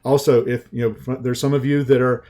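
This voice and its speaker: Southern US man, sales coach type, mellow unhurried webinar pitch with a folksy feel. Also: level tone. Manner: slow, folksy, conversational with restarts.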